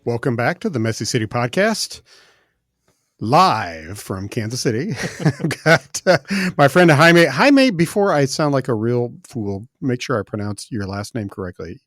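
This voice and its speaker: A middle-aged man from the American Midwest hosting a podcast in a studio, chatting casually and relaxed with plenty of filler words. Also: medium pitch, a little slow, dry recording, soft background music. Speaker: podcast host. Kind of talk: casual interview chat. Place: recording studio.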